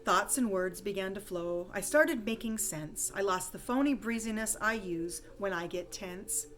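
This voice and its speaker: A woman reading a rhyming holiday story poem aloud with expressive, dramatic storytelling emphasis. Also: mid-range voice, a little slow, a little hiss or hum. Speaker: poem reader.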